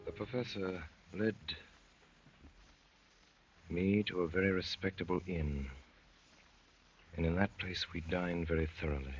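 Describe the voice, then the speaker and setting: An actor playing an earnest young gentleman poet and undercover policeman in theatrical 1930s radio drama, articulate and urgent. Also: low-pitched, steady tone, a little slow, pauses often, soft background music. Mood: hushed narrating. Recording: dull lo-fi 1930s radio recording.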